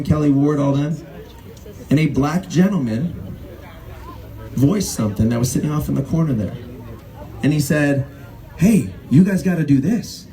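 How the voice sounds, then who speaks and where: A man emceeing a rally, speaking with mounting passion and building to loud climaxes. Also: on the high side. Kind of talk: rally speech and introduction. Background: crowd.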